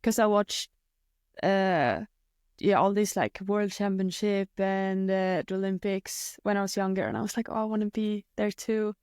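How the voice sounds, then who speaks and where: Young Swedish woman, guest in a casual chat, smooth and clear tone, dry close sound, halting with lots of "uh". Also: mid-range voice, fairly even intonation, a little mellow, dry recording. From Sweden. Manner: hesitant, many fillers and restarts. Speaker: guest athlete.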